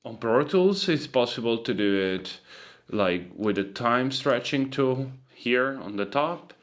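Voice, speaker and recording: A man giving a casual, loosely phrased software tutorial as a sound designer. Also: medium pitch, expressive, slow, soft background music.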